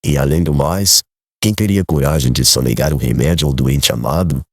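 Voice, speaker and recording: Man acting very deep, solemn older man. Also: vocal fry, breathy edge, no room sound, studio quality, full character voice. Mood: serene, firm. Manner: solemn, measured, formal literary phrasing. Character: Zacarias, kindly grave older man, gentle host. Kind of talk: dramatized audiobook acting.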